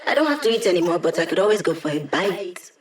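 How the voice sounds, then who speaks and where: A woman's voice: lowish voice, very animated, fast talker. A woman voicing a wry, upbeat young hacker who lives as a digital mind, in a casual, teasing video game performance. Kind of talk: video game character voice lines.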